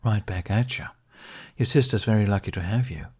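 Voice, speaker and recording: A man voicing a kind young man who talks gently and reassuringly to a child. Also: fairly low pitch, brisk, natural voice. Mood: gentle. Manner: warm, reassuring.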